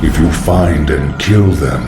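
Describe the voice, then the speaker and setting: A man voicing a scholarly undead lich sorcerer in a video game, with a hollow, gravelly voice and formal, grandiose phrasing. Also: very deep voice, takes it slowly, reverberant. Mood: coldly scholarly. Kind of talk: video game villain acting. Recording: loud music under the voice.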